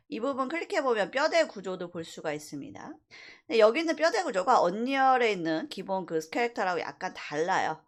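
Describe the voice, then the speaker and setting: A woman teaching a university animation class through a screen-recorded software tutorial, explaining step by step with frequent fillers. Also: medium pitch.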